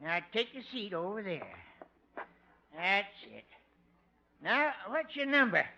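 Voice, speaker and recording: An actor playing a slow, dim-witted old clerk in a 1950 radio comedy, with a drawling, literal-minded manner. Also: male voice, sits high, sing-song, bright, forceful tone, full character voice. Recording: dull old radio recording with hiss.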